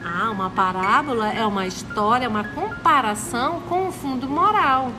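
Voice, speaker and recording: A woman's voice: fairly high pitch, expressive, moderate pace. A woman co-hosting a children's lesson, speaking in short, simple sentences and answers. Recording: long reverberant echo, music behind. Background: clear background music.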